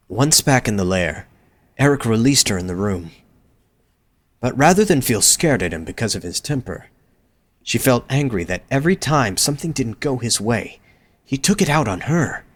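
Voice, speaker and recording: A man narrates a dramatic story from a script. His voice is a little on the low side, in the role of an audio drama narrator.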